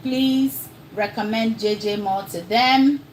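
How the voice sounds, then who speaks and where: A woman's voice: fairly high pitch, takes it slowly, a little hiss or hum. A woman with an accent between Ghanaian and American, hosting a casual live cooking stream and chatting straight to her viewers. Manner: chatty, talks straight to viewers, calls them y'all. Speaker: cooking livestream host.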